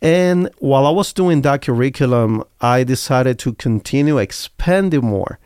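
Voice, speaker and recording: A man's voice: medium pitch, animated, moderate pace, a little mellow, clear, resonant tone, dry recording, studio quality. A man from Cuba with a Cuban Spanish accent, talking earnestly and reflectively as an interview guest. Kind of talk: interview talk.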